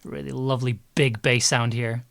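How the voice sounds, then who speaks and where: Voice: medium pitch, flat delivery, normal speed, creaky. A livestream host chatting casually while editing audio, rambling and often repeating words.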